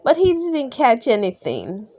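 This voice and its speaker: A woman's voice: fairly high pitch, dry recording. A woman reading children's bedtime stories aloud expressively, drawing out key words and putting questions to young listeners. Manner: expressive, drawn-out emphasis, gasps and sighs, questions to young listeners. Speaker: storybook reader. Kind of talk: read-aloud children's bedtime story narration.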